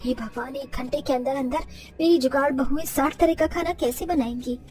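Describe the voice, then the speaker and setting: A woman's voice: sits high, moderate pace, a little mellow, distant mic, soft background music, natural voice. A woman playing a poor, anxious but kindly village mother-in-law in moral-story cartoon dialogue. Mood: worried, flustered.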